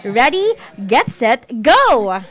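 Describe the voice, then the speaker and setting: A woman's voice: high voice, sing-song, slow, heavy background noise. A woman with a Filipino accent co-hosting a school program in a casual, encouraging way. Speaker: co-host of a school-on-the-air program.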